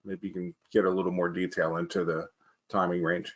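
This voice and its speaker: Low male moderator, dull call audio. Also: level tone, a little fast. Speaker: webinar moderator relaying questions. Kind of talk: informal moderating, relaying a question. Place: indoors, on a video call.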